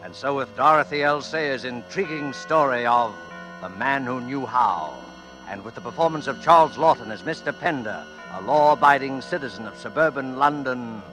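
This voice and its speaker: A man's voice: medium pitch, moderate pace, poor audio. Dramatic radio announcer man over music. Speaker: radio show announcer and host.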